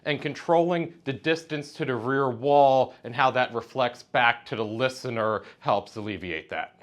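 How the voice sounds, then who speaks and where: A man's voice: mid-range voice, moderate pace. A man giving a precise, matter-of-fact technical explanation as a product representative in conversation. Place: hi-fi trade show exhibit.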